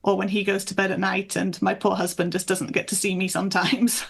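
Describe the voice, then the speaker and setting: A woman's voice: mid-range voice, flat delivery, fairly quick, gravelly, a bit bright, husky. A woman from the north of England and Michigan, chatting in an anecdotal, conversational way as an author being interviewed. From north of England and Michigan, USA. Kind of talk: casual interview chat.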